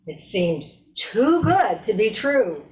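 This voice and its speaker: A woman reads a short story aloud at an unhurried, slow pace. Her voice sits at a medium pitch, in a scripted narrator's delivery. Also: very animated. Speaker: reader in a readers theater.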